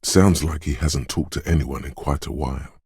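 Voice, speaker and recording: An actor voicing a brooding inner voice of the senses for a game, narrating slowly and quietly in the second person and dwelling on every sensory detail. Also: male voice, very deep voice, slightly rough, dry recording, studio quality, heavily acted. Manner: slow, hushed, lingering on sensory detail. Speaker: voice of a game's inner perception skill.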